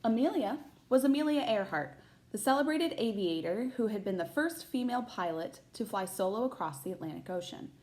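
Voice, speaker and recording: A woman reads a storybook aloud as a teacher would, with very animated pitch. She speaks to young listeners and invites them to wonder along. The room around her voice is noticeably echoey. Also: brisk. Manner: addresses young listeners with questions. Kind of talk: expressive read-aloud of a children's picture book with chatty asides.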